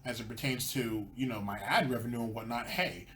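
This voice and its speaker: A man's voice: medium pitch, brisk, a bit bright, a little hiss or hum. A man hosting a commentary show, ranting sarcastically over clips. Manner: sarcastic, mocking. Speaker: commentary channel host.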